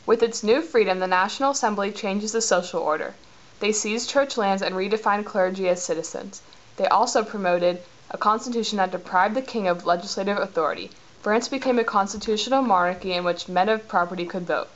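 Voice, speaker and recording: A woman's voice: mid-range voice, a little fast. A teenage female student reading a scripted history narration. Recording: dull, faintly noisy amateur recording.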